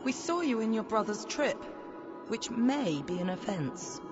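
Woman playing a sardonic patrol officer, very lively pitch. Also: unhurried. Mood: wry. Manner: sarcastic, pointed questioning. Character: sardonic, blunt female afterlife patrol officer. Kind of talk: audio drama acting. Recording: dull lo-fi audio drama recording. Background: background music.

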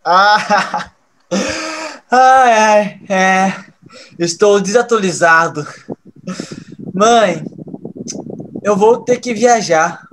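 An actor playing a resentful young male hacker in an audio drama, halting at times. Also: high voice, animated, fairly bright tone, a little hiss or hum, heavily acted, low-quality recording. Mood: subdued, affectionate. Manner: halting, repeats words when pressed.

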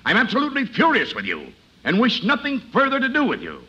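Pompous, husky, indignant boss. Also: male voice, very high voice, wildly swooping pitch, fast, pushed and bright, exaggerated voice. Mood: furious. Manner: pompous, wordy. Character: pompous, indignant male boss, henpecked by his wife. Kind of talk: 1950s radio comedy acting. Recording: dull old radio recording.

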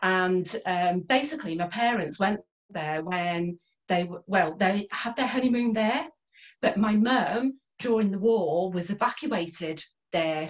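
A woman's voice: mid-range voice, poor audio. A middle-aged woman from North Wales, born in Liverpool, telling her life story casually as an interview guest on a video call. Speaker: interview guest, holistic therapist. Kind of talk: casual interview, personal reminiscence. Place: indoors in a small room at home, on a video call. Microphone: computer microphone on a video call.

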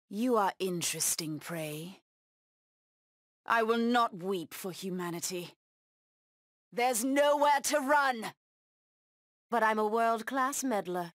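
A woman's voice: a little high, fairly bright tone, dry recording, studio quality. An actress voicing a proud, bloodthirsty cat-woman huntress villain in a video game, with menacing, taunting delivery. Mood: fierce, bloodthirsty.

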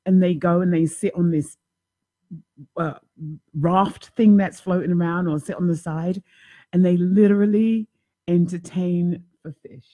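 Husky-voiced chatty woman host. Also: fairly low pitch. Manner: animated, chatty, self-mocking. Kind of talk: casual chat. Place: home office with bookshelves. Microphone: desk mic on boom arm.

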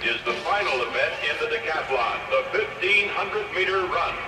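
A man's voice: fairly high pitch, animated, normal speed, natural voice. A man playing a formal athletics announcer in a cartoon read-along story. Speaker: event announcer. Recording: distant, echoey old record playback with music.

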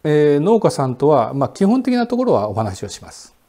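A man in his sixties, a sake brewery director, answering interview questions in a relaxed, explanatory way with many fillers, seated in a quiet indoor room. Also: a little high, a little fast. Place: indoor Japanese room with sliding doors.

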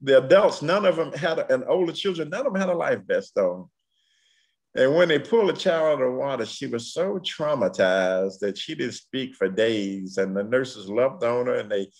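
A man in his sixties with a Southern US accent, a pastor preaching a sermon in rhythmic, repeated refrains. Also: mid-range voice. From Tennessee, United States. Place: room at home. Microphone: computer mic on video call.

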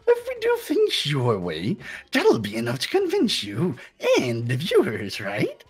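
A gleefully sadistic bear mascot villain from a game. The voice is very husky and creaky, drawling slowly with theatrical, taunting relish. Faint music plays underneath. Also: medium pitch, huge pitch swings, full character voice. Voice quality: raspy, gravelly. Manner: theatrical, taunting, giggling, pun-happy. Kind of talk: character voice acting in a game fan dub.

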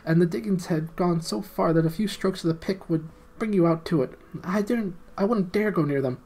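Man doing a high stammering gnome. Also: normal speed, warm tone. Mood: fearful. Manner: stammering, nervous, eager. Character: small excitable male gnome from deep underground.

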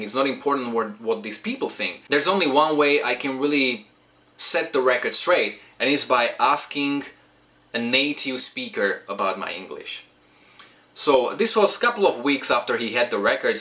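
A young man with a Slovak accent, a singer and English teacher, giving casual advice to camera from a small room at home, talking with his hands and rambling. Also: mid-range voice. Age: young adult. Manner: animated, gestures with hands, rambling asides. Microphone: webcam or camera at desk distance.

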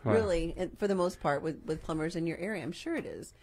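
A woman's voice: low voice, animated, fast, darkish tone, a little hiss or hum. A woman co-hosting a business podcast in a studio, chiming in with agreement and playful teasing. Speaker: co-host. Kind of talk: casual chat. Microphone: desk mic on arm.

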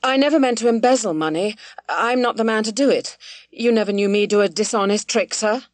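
A woman reading aloud, voicing a nervous young gentleman who stammers and hesitates before his father. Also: mid-range voice, brisk, no echo, lightly acted. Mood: pleading.

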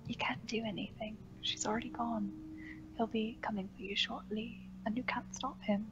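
A woman voicing a calm, manipulative female seer in a horror story reading, in a whispery, soothing, slow hushed voice. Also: a little high, dull audio, noisy background, natural voice.

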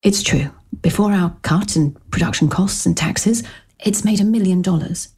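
An actress playing a pushy, upbeat new-age book agent with a breathy voice in an audio romantic comedy. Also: female voice, lowish voice, moderate pace, mellow. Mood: persuasive. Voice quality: breathy, husky. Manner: persuasive, breezily insistent.

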